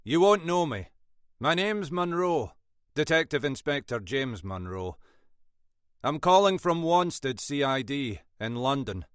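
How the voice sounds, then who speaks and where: Man performing a shrewd Scottish detective, wry, brisk, animated intonation, close dry sound. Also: medium pitch, smooth, dry recording, voice pushed up. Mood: polite. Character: shrewd older Scottish male detective inspector.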